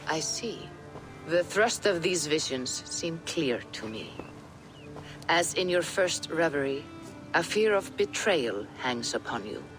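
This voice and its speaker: A woman playing a wise, mystical Norse seeress in a video game, gently probing and counselling in formal, deliberate speech. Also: low voice, big pitch swings, exaggerated voice, low-quality recording. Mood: warning. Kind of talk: dramatic video game cutscene acting. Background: music.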